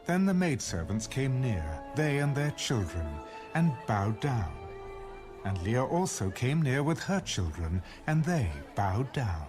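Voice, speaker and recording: A man narrating dramatized scripture with measured storytelling. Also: medium pitch, sing-song. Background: music.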